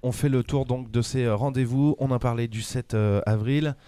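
A man's voice: mid-range voice, steady tone, moderate pace, warm tone, smooth, dry recording. A man hosting a radio interview, asking friendly, prompting questions with fillers. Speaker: radio host.

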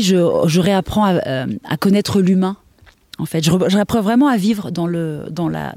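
A middle-aged woman giving a candid personal account in an earnest, halting way, thinking aloud. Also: lowish voice, darkish tone, dry recording. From Portuguese family background. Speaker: interview guest, dancer and choreographer.

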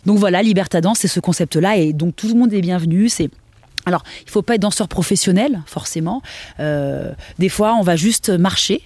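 A middle-aged woman giving a candid personal account in an earnest, halting way, thinking aloud. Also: on the low side, darkish tone, husky, no echo. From Portuguese family background. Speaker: interview guest, dancer and choreographer.

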